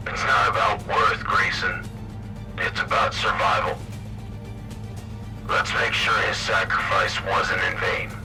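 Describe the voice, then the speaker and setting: A man's voice: fairly low pitch, steady tone, slow pace, long pauses, heavily acted. A man playing a decisive, resolute military lieutenant in a sci-fi radio drama, giving terse, authoritative orders. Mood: grim resolve. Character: commanding male military lieutenant, decisive and resolute under fire. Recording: distant voice under loud music.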